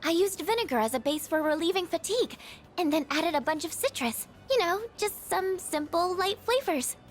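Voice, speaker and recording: A woman voicing a shy, gentle and earnest teenage schoolgirl in a video game, speaking softly and hesitantly. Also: very high-pitched, expressive, normal speed, soft background music. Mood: shy, hopeful. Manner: soft-spoken, hesitant, apologetic. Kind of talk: English video game voice acting.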